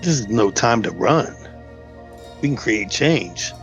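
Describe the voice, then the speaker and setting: A man's voice: lowish voice, expressive, takes it slowly, faint music behind. A man taking part in a tabletop role-playing game, talking casually as a player.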